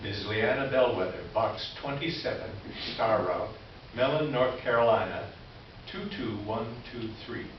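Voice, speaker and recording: A man's voice: mid-range voice, a little slow, close to an everyday voice. A man playing a smug, officious middle-aged complaints officer, reading his formal, condescending letter aloud in staged reader's theater. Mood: pompous formality. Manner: formal, pompous, pointedly condescending. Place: indoor stage presentation before an audience. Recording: echoey hall from far off, dull, faint hiss.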